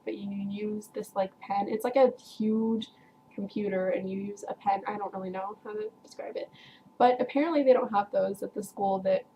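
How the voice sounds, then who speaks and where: A woman's voice: medium pitch, highs cut off, slight background noise. Chatty teenage girl rambling a story. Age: teens. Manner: chatty, rambling, heavy use of "like" and "um"; frequent laughs while telling. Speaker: vlogger. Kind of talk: casual storytelling to camera.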